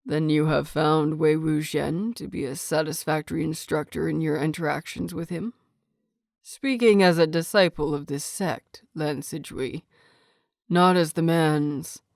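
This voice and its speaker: A woman voicing a stern older man, a clan teacher with a measured, formal, reserved manner, in an audio story. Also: deep voice, steady tone, creaky, darkish tone, no room sound, clean studio sound. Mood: grave.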